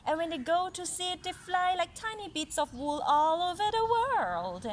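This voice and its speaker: Haughty apple branch, a woman acting it against clear noise. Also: very high-pitched, lively intonation, voice pushed up. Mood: haughty disdain. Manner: disdainful, emphatic, drawn-out stress. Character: haughty, scornful apple branch sneering at common weeds.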